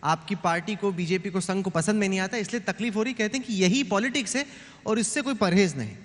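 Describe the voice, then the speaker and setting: A man's voice: high-pitched, fast talker. A man moderating a live panel debate, firm and stern as he keeps the speakers in order. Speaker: debate moderator, news anchor. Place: large conference hall on stage. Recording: long hall reverb.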